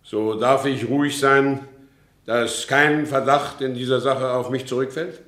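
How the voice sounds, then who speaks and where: An actor playing a wronged horse dealer in a German radio drama, courteous and formal but insistent. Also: male voice, mid-range voice, steady tone, a little slow, fairly bright tone, close to an everyday voice. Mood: defensive, composed. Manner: formal, deferential yet insistent. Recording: roomy studio radio drama recording.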